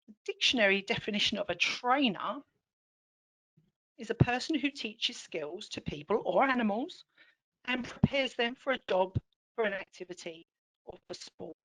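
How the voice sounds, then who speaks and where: Woman trainer w a croaky scratchy throat, upbeat n encouraging, explains stuff clearly. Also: medium pitch, fairly bright tone. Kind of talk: online teaching session with polls.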